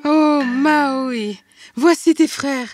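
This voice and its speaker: A woman's voice: sits high, extremely slow, no room sound, clean studio sound. A woman voicing a loving, doting mother in a fairy-tale cartoon, tender in manner. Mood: affectionate. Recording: music behind the voice.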